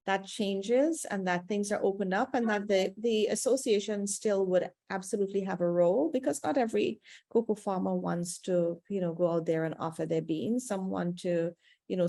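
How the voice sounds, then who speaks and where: A woman, a third-generation cocoa farmer answering webinar questions over a video call, measured and diplomatic with frequent hesitations. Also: mid-range voice, dark tone, no echo.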